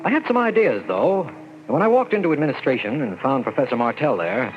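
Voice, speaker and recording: An actor playing an earnest young army lieutenant and scientist who recounts events dramatically in an old-time radio drama. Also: male voice, medium pitch, sing-song, a little fast, natural voice. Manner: earnest, dramatic, suspenseful storytelling. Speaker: narrator and lead character. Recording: muffled vintage radio recording, loud music.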